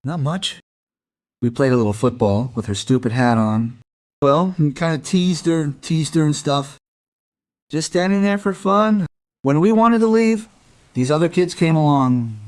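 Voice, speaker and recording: An actor playing a defiant, resentful young out-of-work suspect in a crime radio play, sullen and evasive. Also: male voice, fairly high pitch, a little slow, close to an everyday voice. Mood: reluctant. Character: defiant, resentful young unemployed working-class male suspect.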